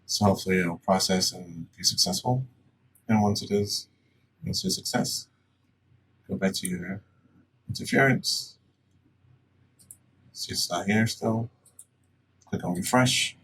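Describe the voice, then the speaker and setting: A man presenting a casual step-by-step software tutorial in a plain-spoken, instructional way. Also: low-pitched, unhurried, long pauses, husky. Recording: distant mic, faint background noise.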